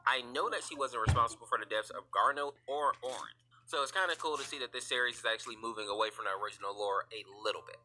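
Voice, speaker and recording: Male commentary narrator, quick-paced, very sharp bright tone, explanatory. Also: mid-range voice, faint music behind, poor audio. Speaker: lore video narrator.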